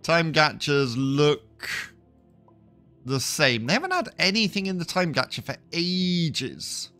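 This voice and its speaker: A man's voice: fairly high pitch, lively intonation, slow pace, sharp tone, soft background music. A man hosting a casual gameplay video, chatty and self-deprecating, thinking aloud, still getting over a cough. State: recovering from a cough. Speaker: gaming video host.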